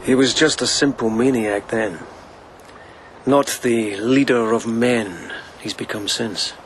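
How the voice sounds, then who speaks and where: A man plays a reserved young military officer in a period radio drama. He talks tersely and with restraint, in a medium-pitched voice, with faint ambience behind him. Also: slow pace, a little hiss or hum, lightly acted, poor audio. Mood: grim, controlled. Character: reserved, wistful young lieutenant, a widower bent on vengeance. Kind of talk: understated radio drama acting.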